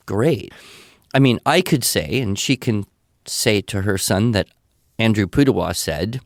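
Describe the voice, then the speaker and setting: A man's voice: mid-range voice, dry recording, studio quality. A man speaking conversationally as a podcast guest, explaining his advice on education in an emphatic way. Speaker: podcast guest answering listener questions.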